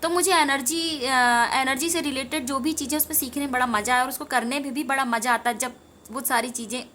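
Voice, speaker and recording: A woman's voice: fairly high pitch, sharp tone, slight background noise. A woman giving an informal spiritual talk to viewers, earnest and rambling, repeating phrases for emphasis. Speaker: tarot reader and meditation teacher.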